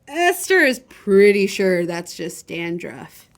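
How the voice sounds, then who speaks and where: A woman's voice: medium pitch, big pitch swings, slow pace, slight background noise. A woman hosting a children's storytime, reading a picture book expressively and chatting directly to her young listeners. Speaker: library storytime host and reader.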